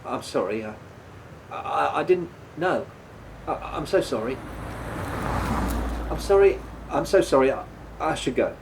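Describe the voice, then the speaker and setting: A man's voice: fairly high pitch, expressive, a little slow, vocal fry, pauses often, noisy background. An actor in a radio play voicing a guilt-ridden, apologetic man who stammers and hesitates as he speaks. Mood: flustered, remorseful.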